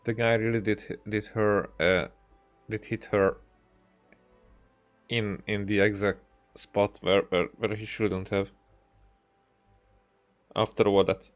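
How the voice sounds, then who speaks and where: A man with a fairly low voice streams a video game. He reacts casually and haltingly, often repeating words, with soft music behind him. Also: flat delivery. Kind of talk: casual live reaction to gameplay.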